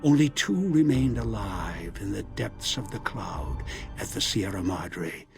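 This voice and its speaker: Grave wasteland storyteller, lowish voice, ominous, measured, video game narration, music behind. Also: male voice, lively intonation, creaky. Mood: somber, ominous. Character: grave, somber male storyteller of a ruined wasteland.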